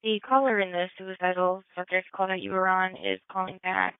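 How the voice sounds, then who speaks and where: A woman's voice: on the low side, brisk, clear, resonant tone, no echo. A woman working as a police dispatcher, giving call updates over a two-way radio. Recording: narrow-band police scanner radio, dry.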